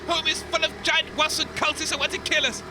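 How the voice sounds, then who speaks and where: A man's voice: very high-pitched, level tone, a little fast, background noise. A man playing a sulky, flustered, jealous radio co-host who stammers in a comedy audio drama. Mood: grumbling.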